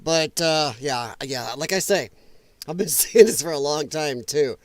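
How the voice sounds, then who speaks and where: A man hosting a casual late-night livestream at home, rambling and self-deprecating as he chats with viewers, his voice a little rough. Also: on the high side, expressive, a little slow, dry recording. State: rough voice, occasional coughing. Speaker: livestream host. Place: home room at night, animals nearby.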